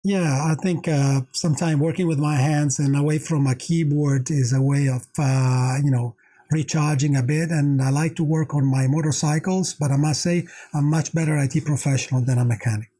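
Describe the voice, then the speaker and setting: A man's voice: fairly high pitch, steady tone, moderate pace, warm tone. A middle-aged man from Italy with an Italian accent, answering interview questions conversationally as an executive guest.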